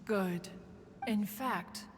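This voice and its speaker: An actress playing a haughty, formal crane immortal in a game, with stately, imperious, archaic phrasing. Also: female voice, mid-range voice, extremely slow, slight background noise. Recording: long reverb with game music.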